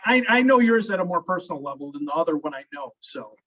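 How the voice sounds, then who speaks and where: Male attorney host, somewhat high voice, set back from the mic, dull sound; chatty and halting. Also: animated, distant mic, a crowd in the background. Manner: rambling, stop-start, friendly. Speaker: family law attorney host. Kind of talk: casual interview conversation. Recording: muffled audio from off-mic.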